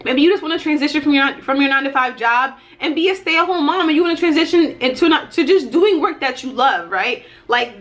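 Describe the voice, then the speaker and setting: A woman's voice: high-pitched, fairly bright tone. A woman in her thirties giving a casual motivational vlog talk, emphatic and folksy, often checking in with her listeners. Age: 30s. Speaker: YouTuber and life and business coach.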